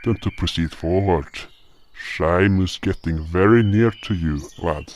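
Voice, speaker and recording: Gruff older male fantasy adventurer, acted by a man: wary, slow-spoken, over noisy outdoor ambience with insects. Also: deep voice, darkish tone. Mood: grave, wary. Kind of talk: fantasy audio drama acting.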